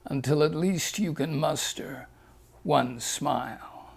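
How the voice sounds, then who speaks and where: A man's voice: a little high, takes it slowly, vocal fry, slightly rough, heavily acted. Man voicing a tender, pleading mother. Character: sad, tender mother of a boy.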